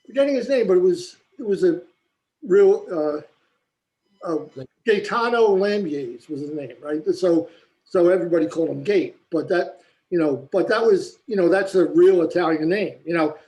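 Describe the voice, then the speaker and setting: American guy on a video call, voice a bit high, rambles and says you know a lot. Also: moderate pace, warm tone, low-quality recording. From United States. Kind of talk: casual chat on a video call.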